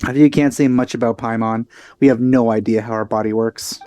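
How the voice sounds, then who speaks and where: A man giving casual, low-key commentary as he plays through a video game. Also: fairly even intonation, brisk, warm tone, no echo, soft background music. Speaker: let's player.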